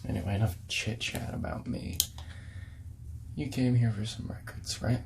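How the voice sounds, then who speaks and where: A man playing a friendly, laid-back hippie record store clerk in a soft-spoken ASMR role-play, often breathy and whispering, with hesitant, casual small talk. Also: lowish voice, steady tone, moderate pace, background noise. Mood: relaxed, helpful.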